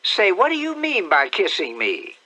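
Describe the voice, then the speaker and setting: A man voicing an excitable, fussy older rural aunt with shrill, scolding exclamations. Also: moderate pace, exaggerated voice, poor audio.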